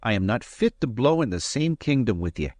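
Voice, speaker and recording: A man's voice: mid-range voice, big pitch swings, darkish tone, no room sound, clean studio sound, pitched above the performer's own voice, natural voice. Alan: a man voicing a proud swordsman. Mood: admiring. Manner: touchy, boastful, quick to anger. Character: proud hot-tempered Highland swordsman, adult man.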